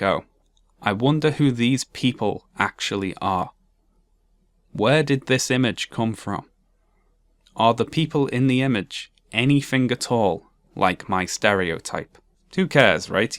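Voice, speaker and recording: A young man with a monotone, dryly sarcastic delivery, narrating a commentary video essay. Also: medium pitch, dry recording.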